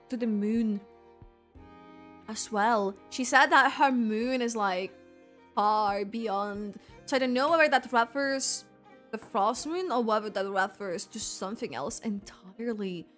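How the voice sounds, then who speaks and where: A woman's voice: a little high, a little slow, fairly bright tone, faint music behind. A woman streaming a video game, giving casual reaction commentary in a rambling way, often trailing off mid-thought.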